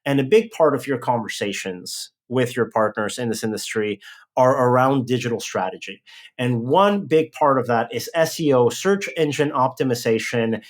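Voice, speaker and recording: A man's voice: mid-range voice, animated, moderate pace. Upbeat male podcast host. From Mexico. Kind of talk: casual podcast chat and interview.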